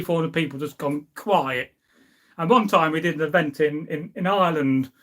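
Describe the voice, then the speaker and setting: Higher-pitched man chatting casually. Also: fairly bright tone. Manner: informal, digressive, anecdotal. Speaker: live stream host, history enthusiast. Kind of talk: casual rambling chat, reading out and answering viewer comments.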